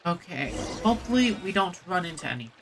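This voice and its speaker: A woman's voice: fairly low pitch, sing-song, fast talker, noisy background. A woman streaming a video game, giving casual commentary and reading in-game text aloud. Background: game music.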